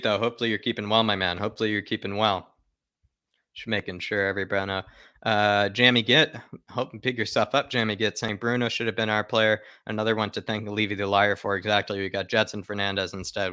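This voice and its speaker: Bright, low-pitched man hosting fan livestream. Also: little pitch movement, a little fast, clear, resonant tone, dry recording, clean studio sound. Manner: emphatic, often repeats his phrases for stress. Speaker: host of football fan channel livestream. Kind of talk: casual live fan chat, reading viewer comments aloud.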